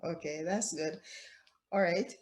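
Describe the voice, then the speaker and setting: A woman's voice: on the low side, steady tone, slow, a little mellow. A woman hosting a video call interview, asking questions and giving short affirmations. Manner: brief affirmations and prompting questions. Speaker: interview host.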